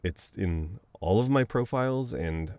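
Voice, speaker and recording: A man's voice: sits low, animated, measured pace, a little mellow, no room sound. A man acting a flustered, teasing best friend with a dominant streak in an intimate, conversational ASMR roleplay, often sounding exasperated. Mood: reluctant, awkward. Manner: conversational, exasperated, breaking into chuckles and sighs.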